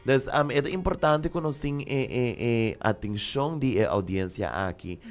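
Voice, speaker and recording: A male radio host asking questions slowly, with many pauses and ums, and cheering his young guest on. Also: medium pitch, a little hiss or hum. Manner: hesitant, many ums, encouraging. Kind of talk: radio interview.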